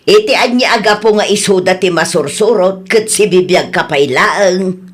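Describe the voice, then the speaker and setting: A woman's voice: medium pitch, normal speed, natural voice. A woman playing a sympathetic, outspoken older neighbour in a radio drama, concerned and gossipy, with an Ilocano accent.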